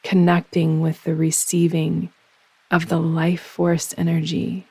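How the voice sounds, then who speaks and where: Slow, low-voiced woman guiding meditation. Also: completely flat delivery, a little mellow, slightly rough, no echo. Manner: measured, unhurried phrasing with pauses. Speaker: yoga nidra meditation guide. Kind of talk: guided meditation, slow soothing instruction.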